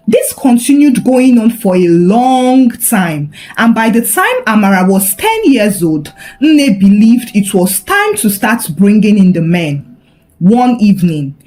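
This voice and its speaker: A woman narrating a scripted folktale in a dramatic, expressive storytelling manner. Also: on the high side.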